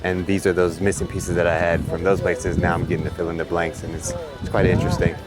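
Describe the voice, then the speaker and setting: A man's voice: low voice, level tone, fast. A man hosting a travel vlog, talking casually to camera about his trip. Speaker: travel vlogger and host. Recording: music behind the voice.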